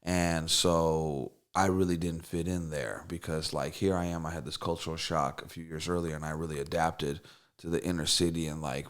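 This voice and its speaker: A man's voice: very deep voice, flat delivery, moderate pace. A middle-aged man from Russia whose first language is Russian, telling stories casually in an interview with lots of fillers and restarts. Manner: rambling with many fillers and restarts. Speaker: songwriter and producer guest.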